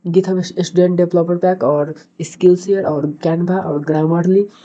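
A man with a Nepali accent and a very dark, soft-edged tone hosts a tutorial in an even, flat melody. He speaks haltingly and repeats words, and the microphone sound is dull and lo-fi. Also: sits high. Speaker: tutorial host. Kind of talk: screen-recorded tutorial narration.

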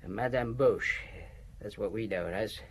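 An actor playing a shifty, cheeky lowlife informant in a radio drama, husky and colloquial. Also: male voice, measured pace. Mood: cagey.

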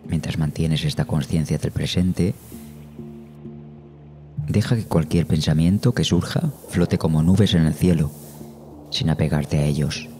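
A man narrating a guided meditation in Spanish as the guide, slowly and soothingly, with measured phrases and long pauses. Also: a bass voice, dark tone. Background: meditation music.

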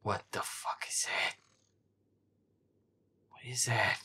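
A man's voice: slow pace, sharp tone, in a whisper. A man playing a feisty, irritable werewolf boyfriend, muttering sarcastic, sweary commentary over a horror game. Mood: exasperated.

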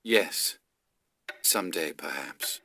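Mysterious scheming advisor, very low voice, keen edge, cryptic, polite, video game. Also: male voice, lively intonation, slow, bright, forceful tone, slight background noise, heavily acted. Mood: cool, cryptic. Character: enigmatic, scheming male advisor, cool and secretive. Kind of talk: video game cutscene acting.

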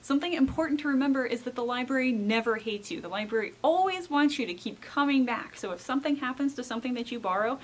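A woman's voice: sits high, animated, fast, few pauses. A woman in her thirties presenting a library tour as a children's librarian, enthusiastic and emphatic. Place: indoors in a public library.

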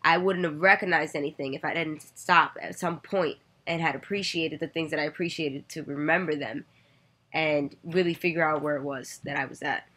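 Woman in her thirties, low voice, earnest and reflective vlogger, webcam in a bedroom. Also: fairly even intonation, moderate pace, a bit bright. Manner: earnest, reflective, with many "you know" fillers. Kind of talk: personal storytelling to camera. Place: bedroom, indoors. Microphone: webcam at desk distance.

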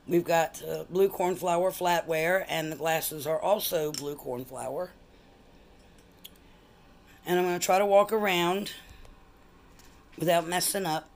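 A woman's voice: on the low side, takes it slowly, long pauses, darkish tone, a little hiss or hum. A woman, chatting casually as she walks viewers through her buffet table. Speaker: collector showing a buffet table. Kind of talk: casual show-and-tell vlog. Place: home dining room, indoors. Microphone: handheld phone camera.

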